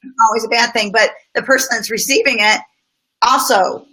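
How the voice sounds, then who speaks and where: A woman's voice: fairly high pitch, steady tone, brisk, pushed and bright, husky, rough recording. A middle-aged woman talking through workplace experiences as a guest, thoughtful and full of personal examples. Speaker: guest speaker on business. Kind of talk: casual conversational interview.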